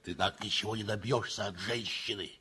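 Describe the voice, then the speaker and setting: A man's voice: fairly low pitch, fairly even intonation, brisk, slightly rough, full character voice. An actor playing a boisterous, joking train driver in his forties in a 1960s radio play. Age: 40s. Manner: loud, boisterous, teasing. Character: hearty forty-year-old train driver, crude joker.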